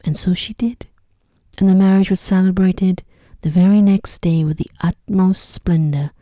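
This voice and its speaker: Very dark, soft whispery woman storyteller. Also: lowish voice, no echo, clean studio sound. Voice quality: soft, breathy, often whispery. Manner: gentle, soothing, unhurried. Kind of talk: ASMR bedtime story reading. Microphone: close microphone.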